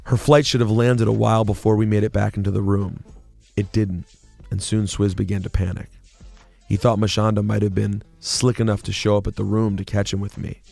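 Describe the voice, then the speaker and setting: A man's voice: lowish voice, little pitch movement, fairly quick, vocal fry, a little mellow. A man voicing a sultry, overdramatic woman narrating a memoir of her affair, in a theatrical reading. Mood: suspenseful. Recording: music playing behind the voice.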